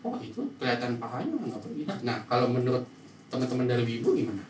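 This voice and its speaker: A man's voice: mid-range voice, very animated, fast, darkish tone. A man with a Jakarta accent hosting a casual group chat, asking questions in a teasing, slangy, jokey way. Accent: Jakarta Indonesian. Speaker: podcast host asking questions. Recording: echoey room, distant mic, faint noise.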